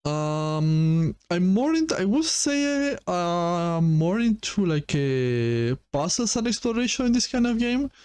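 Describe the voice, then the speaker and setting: A man giving casual commentary and review as a gaming host, speaking haltingly and often rephrasing himself. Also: high voice, very animated, a little mellow, clean tone.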